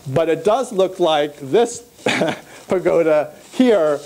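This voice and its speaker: A man born in Burma giving an academic conference talk on art, pausing often with uh and um as he walks through his slides. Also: on the high side, lively intonation, darkish tone. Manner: halting, many uh and um fillers, pointing to slides. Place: lecture room at a conference.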